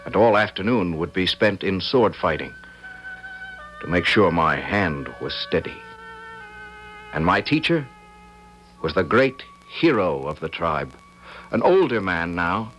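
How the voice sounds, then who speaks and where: A meek young man with a low but lively voice tells his own story, as an actor narrates him. He is wry and self-mocking, hesitating and teasing himself, as in a radio drama. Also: unhurried, long pauses, faint music behind, full character voice, poor audio. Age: young adult. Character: meek, cheerful young man, priest's son.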